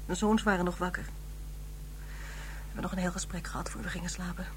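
A woman's voice: lowish voice, steady tone, moderate pace, a little hiss or hum, natural voice. An actress playing a proud, bitter widow in her fifties, curt and formal, in a radio drama. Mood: weary, controlled. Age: 50s.

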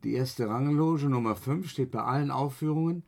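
A man's voice: medium pitch, normal speed, gravelly, darkish tone, a little hiss or hum, close to an everyday voice. A man acting a mocking, self-assured opera house director in a German radio drama, both narrating and conversing. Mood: measured, narrating. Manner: incredulous, mocking, swooping into scorn.

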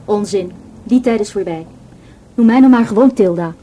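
An actress playing a frank, self-assured young student in a radio play, informal and unembarrassed. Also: female voice, medium pitch, normal speed, warm tone, lightly acted, low-quality recording. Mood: frank, matter-of-fact. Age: young adult.

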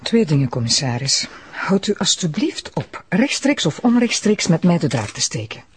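An actress playing an assertive, formal deputy prosecutor in a radio drama. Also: female voice, lowish voice, very animated, moderate pace, slight background noise. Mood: firm. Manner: formal, firm, questioning.

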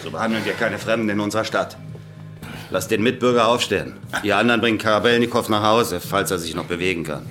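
An actor playing an authoritative, smooth-talking Soviet town official in a radio play. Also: male voice, lowish voice, fast talker, loud music behind the voice, lightly acted. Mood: commanding. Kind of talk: radio drama dialogue.

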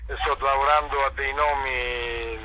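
A man's voice: mid-range voice, fairly even intonation, measured pace, sharp tone, a little hiss or hum. A man chatting casually over a telephone line, explaining in a rambling, hesitant way with wry, self-deprecating asides. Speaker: event organiser, interview guest. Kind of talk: casual phone interview, informal explaining. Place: remote phone call to a radio show.

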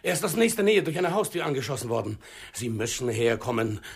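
An actor playing an agitated small-town mayor in a 1960s radio crime drama, husky and gravelly, halting as he reports. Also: mid-range voice.

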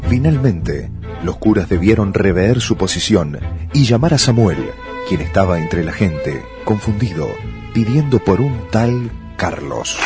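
A man's voice: on the low side, animated, a little slow. A man with an Argentine accent playing a solemn storyteller narrating a comic radio play. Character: solemn male storyteller of a monastery tale. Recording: music behind the voice.

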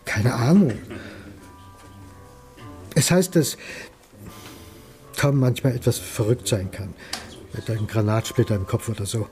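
An actor playing a wary, reluctant rural farmhand who answers curtly in a crime radio play. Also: male voice, medium pitch, lively intonation, measured pace, long pauses, darkish tone, soft background music, lightly acted. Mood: guarded. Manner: guarded, curt, reluctant.

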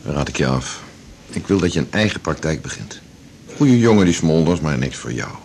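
Very deep, gravelly, blunt older man. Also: animated, fairly quick, darkish tone. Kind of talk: 1980s Dutch radio drama acting. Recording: noisy 1980s radio drama recording.

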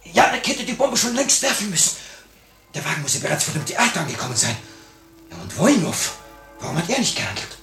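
An actor playing a nervous, romantic leader of a revolutionary cell in a 1950 radio drama, with theatrical delivery. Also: male voice, on the high side, very animated, fairly quick, creaky, pushed and bright, faint music behind, exaggerated voice. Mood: urgent, agitated. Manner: theatrical, agitated, brooding. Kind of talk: 1950 German-language radio drama acting. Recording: old 1950 radio recording.

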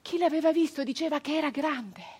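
An actress performing a theatrical radio monologue as a wistful, dreamy peasant woman recalling her girlhood, with halting repetitions and a breathy delivery that moves between whispers and fervour. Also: high-pitched, darkish tone, close to an everyday voice. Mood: dreamy, yearning. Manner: halting repetitions, dropping into breathy whispers and swelling to fervent cries. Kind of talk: theatrical radio monologue, dramatic first-person storytelling.

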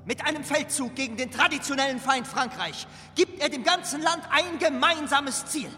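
A man's voice: extremely high pitch, moderate pace, pushed and bright, slight background noise. An actor playing a medieval English bishop, speaking formally and oratorically for his king in a radio drama. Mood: formal, resolute. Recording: long hall reverb, faint music.

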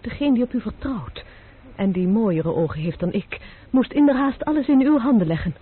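A composed young lady messenger who gives orders in a cool, urgent way. Her voice is high and lively with a slight huskiness. It comes through thin and phone-like, like an old broadcast. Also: normal speed, slightly rough. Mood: stern. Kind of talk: vintage radio play acting. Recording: tinny lo-fi old radio broadcast.

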